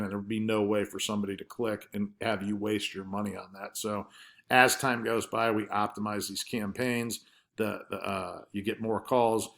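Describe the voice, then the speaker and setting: Low-pitched man giving an informal presentation. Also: fairly bright tone. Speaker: marketer presenting his ad campaign results. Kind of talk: informal screen-share presentation.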